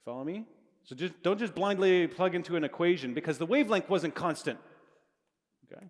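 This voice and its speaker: A man's voice: a little high, animated, fairly quick. A middle-aged man giving a live physics lecture to students in a large hall, explaining casually and correcting himself as he goes, speaking into a handheld microphone. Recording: long reverb of a large hall.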